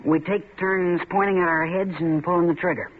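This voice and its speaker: A man's voice: sits high, steady tone, normal speed, close to an everyday voice. An actor playing a taunting, swaggering young man in a 1940s radio drama, needling his friend as a coward. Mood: coaxing. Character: taunting young male tough, Red. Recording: tinny lo-fi old radio broadcast with faint hiss.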